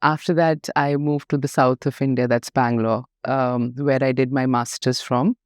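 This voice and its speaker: A woman from Nepal in her thirties, a dental specialist and podcast guest, telling her story in a warm, reflective way with many fillers and restarts. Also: a bass voice, fairly even intonation, normal speed. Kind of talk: conversational podcast interview.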